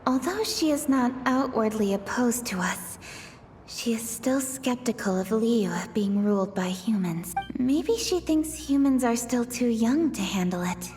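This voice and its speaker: A woman voicing a self-assured young female official in a game, brisk and confident. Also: fairly high pitch, lively intonation, a little mellow, slight background noise. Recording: reverberant, with game music.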